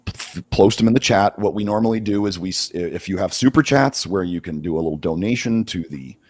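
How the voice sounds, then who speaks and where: Man, hoarse, very low voice, dry close sound, halting livestream host. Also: a little mellow, no echo. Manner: halting, many restarts. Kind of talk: casual livestream talk.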